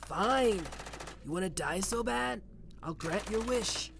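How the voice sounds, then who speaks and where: A man's voice: sits high, lively intonation, creaky, background noise, heavily acted. A man playing a ruthless, cocky mob boss with a protective streak in an ASMR roleplay drama, commanding and taunting. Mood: angry, commanding. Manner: commanding, taunting, slow deliberate delivery. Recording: loud music bed under voice. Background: music.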